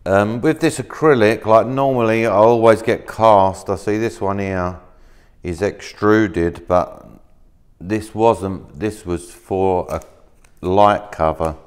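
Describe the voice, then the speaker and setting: A deep, smooth-voiced man in his thirties talks through a workshop demonstration in an easygoing, rambling way, full of fillers and restarts. He sounds relaxed and unscripted as he handles materials at a work table. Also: slow. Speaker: maker demonstrating laser-cut materials.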